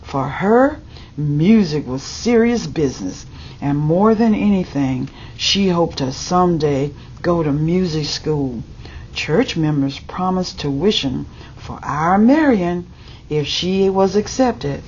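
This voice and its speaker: A woman reading a children's picture book aloud in a measured, deliberate way, as a storybook reader. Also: lowish voice, lively intonation, darkish tone. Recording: dull lo-fi audio with background noise.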